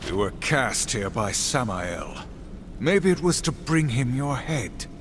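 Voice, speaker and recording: Grim dutiful warrior, very slow delivery, formal and commanding, humorless, game cutscene, music underneath. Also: male voice, medium pitch, sing-song. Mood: stern, threatening. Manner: grave, formal, commanding speech. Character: stern, humorless warrior horseman sworn to duty. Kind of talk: video game cutscene acting.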